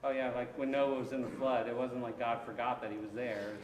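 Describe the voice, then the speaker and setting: A man's voice: mid-range voice, fairly even intonation, a little fast, a crowd in the background. A male pastor leading an interactive Bible study, talking in a conversational way, taking questions and acting out little asides. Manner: conversational, takes questions, frequent restarts and acted asides. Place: church sanctuary. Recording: echoey church hall from far off.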